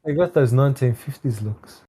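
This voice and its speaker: A man's voice: medium pitch, a little fast, mellow. A young man on a podcast, telling a blunt, sweary story full of slang. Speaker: podcast co-host. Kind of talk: casual chat.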